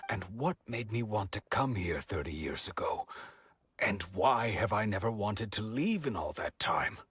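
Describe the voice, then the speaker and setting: A man's voice: on the low side, lively intonation, measured pace, fairly bright tone, slightly rough, heavily acted. A man playing a weary, forlorn older blind villager in a video game, speaking with hesitant resignation. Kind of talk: English video game voice acting.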